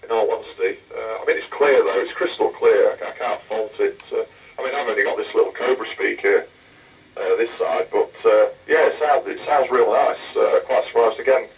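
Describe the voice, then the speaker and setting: A man's voice: fairly low pitch, normal speed. An English man with an English accent, rambling casually with fillers as the other operator on a radio test. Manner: rambling, hesitant, many fillers. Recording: muffled distant radio transmission.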